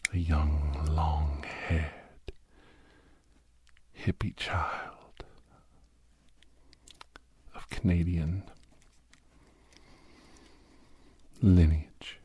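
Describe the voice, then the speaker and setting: Sinister captor doctor for ASMR drama: extremely low, slow, breathy half-whisper, menacingly courteous. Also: male voice, mellow, heavily acted. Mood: scornful. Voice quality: breathy, husky near-whisper. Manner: slow, drawn-out, mock-polite menace. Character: menacing villainous doctor, obsessed captor, coldly gloating.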